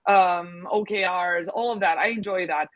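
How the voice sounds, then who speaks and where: A woman's voice: on the low side, moderate pace, dry recording, dull audio. A woman from Serbia, a guest in a casual interview, talking with many fillers as she thinks aloud. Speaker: agency founder, guest.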